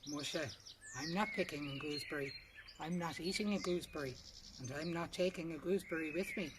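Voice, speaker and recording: A woman's voice: low-pitched, brisk, natural voice. Woman as a scornful Irish sister, noisy recording. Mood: stubborn refusal. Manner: blunt, scornful. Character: proud, dismissive young sisters in folk tale. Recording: clear background noise with birdsong.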